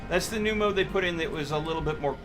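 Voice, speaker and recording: A man's voice: fairly high pitch, quick pace. A man hosting a live game stream, chatting casually and commenting on his play, with game music behind him. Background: video game music.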